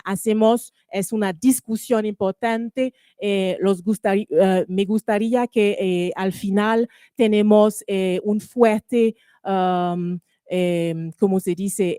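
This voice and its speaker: French-speaking woman moderating a panel, halting, lots of ums, slow and mellow. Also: mid-range voice, no echo, clean studio sound. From Caribbean. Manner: halting, searching for words with many fillers and restarts. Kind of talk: hesitant formal panel moderation.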